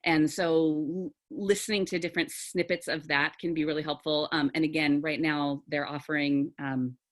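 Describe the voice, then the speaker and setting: A woman theatre teacher with a low, authoritative register, explaining things thoughtfully in an online group discussion. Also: fairly even intonation, moderate pace. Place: video call.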